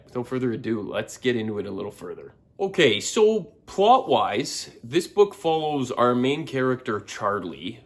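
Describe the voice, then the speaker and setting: A man giving a casual book review to his viewers, often repeating words and backtracking as he talks. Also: mid-range voice.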